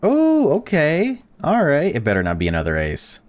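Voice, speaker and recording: A young man in a let's-play, reading a visual novel aloud and reacting to it, swearing freely and swinging between excited outbursts and flat reading. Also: on the high side, normal speed, no room sound. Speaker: gaming YouTuber.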